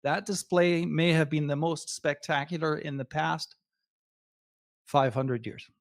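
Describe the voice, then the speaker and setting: A man whose first language is not English, giving an astronomy slide presentation to a club audience with measured, emphatic pacing and pauses. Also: on the high side, fairly even intonation. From Canada.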